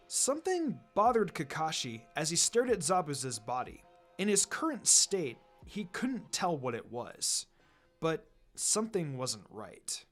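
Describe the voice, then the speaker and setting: A man narrates an adventure story in a slightly high voice with a very lively, varied delivery. He reads scripted prose dramatically, with music playing underneath. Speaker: fan fiction reader. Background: background music.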